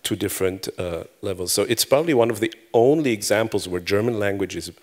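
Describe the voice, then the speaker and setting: Middle-aged man, native Hebrew speaker, lowish voice, chatty and self-deprecating keynote with restarts. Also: fast, a little mellow. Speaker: conference speaker. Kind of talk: conference talk. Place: conference hall before an audience.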